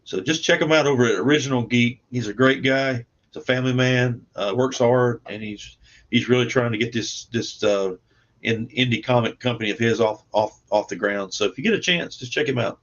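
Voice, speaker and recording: A man speaking as a guest in a casual interview, in a halting, plain-spoken way. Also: medium pitch, a bit bright, dull audio. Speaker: guest comic book creator.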